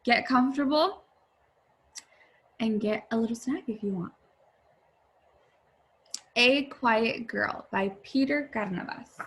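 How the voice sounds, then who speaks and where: A woman teacher reading a picture book aloud to young viewers, with deliberate, expressive phrasing. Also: a little high, slight background noise. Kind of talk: children's read-aloud, addressing young viewers.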